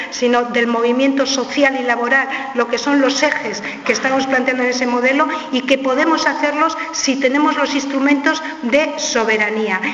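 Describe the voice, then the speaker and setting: High woman heard from afar in a hall. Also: a bit bright. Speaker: panelist. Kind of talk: round-table debate. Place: theatre school hall. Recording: distant mic, echoey hall, dull.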